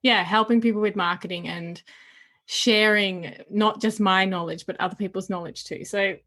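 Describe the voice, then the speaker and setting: A woman hosting a casual podcast interview, speaking English as a second language, enthusiastic and quick to laugh. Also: mid-range voice, steady tone, fairly bright tone. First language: not English. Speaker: podcast host.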